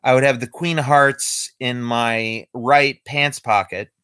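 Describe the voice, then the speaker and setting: A man's voice: mid-range voice, fairly even intonation, takes it slowly, fairly bright tone, clean tone, dry recording. A man chatting casually on a live stream, explaining his magic tricks step by step. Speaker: amateur magician.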